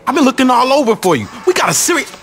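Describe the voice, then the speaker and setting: Touchy gang lieutenant, brash, indignant, slangy, very animated pitch, video game, music in background. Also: male voice, racing, full character voice. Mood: agitated. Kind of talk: video game cutscene voice acting.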